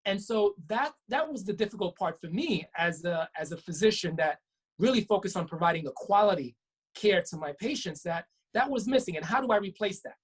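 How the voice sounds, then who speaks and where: A woman's voice: medium pitch, animated, brisk, breathy edge. A woman physician from Brooklyn, New York, answering interview questions in an earnest, explanatory way with frequent restarts. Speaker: physician guest. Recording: web talk show.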